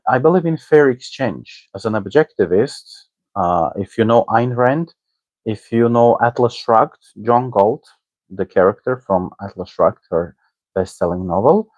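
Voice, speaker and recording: A man whose native language is Armenian, giving casual commentary as a discussion host in a hesitant, halting way, often checking in with the listener. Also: mid-range voice, dry recording, lo-fi sound. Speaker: online teacher and discussion host. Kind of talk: casual spoken commentary on a book.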